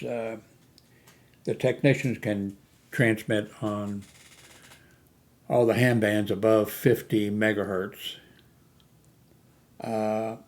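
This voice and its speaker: A man giving a casual, unscripted talk as an amateur radio hobbyist, rambling and hesitant, often losing his thread. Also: fairly low pitch. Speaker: amateur radio hobbyist hosting a video channel.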